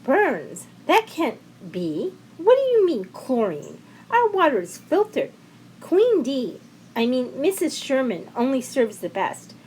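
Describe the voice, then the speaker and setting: A woman's voice: high voice, huge pitch swings, slow, darkish tone, a little hiss or hum, pitched above the performer's own voice, exaggerated voice, poor audio. A woman voicing a proud, flustered male waiter in an audio story, emphatic and defensive. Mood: defensive, disbelieving. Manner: stammering and emphatic when challenged.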